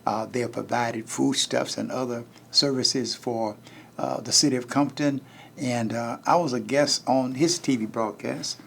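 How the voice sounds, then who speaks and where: Male pastor-host, gravelly, hesitant interviewer, faint hiss behind. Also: mid-range voice, a bit bright. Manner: halting, many "uh" fillers. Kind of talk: televised interview.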